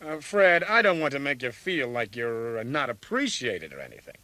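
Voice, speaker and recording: A man's voice: mid-range voice, sing-song, moderate pace, pushed and bright, dry recording. A man playing an easygoing military colonel in an audio drama, teasing and informal with a wry manner.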